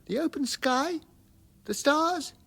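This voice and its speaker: An actor playing an eager, dim alien pupil with a childlike, dutiful manner in a comic radio drama. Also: male voice, very high voice, expressive, slow, vocal fry, fairly bright tone, heavily acted. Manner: childlike, pleading, dutiful reciting.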